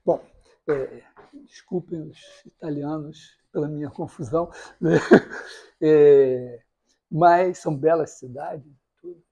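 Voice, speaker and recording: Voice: mid-range voice, slow pace, pauses often, dark tone, husky. A university mathematics lecturer with a Brazilian accent gives an informal, storytelling lecture in a rambling, digressive, self-correcting way.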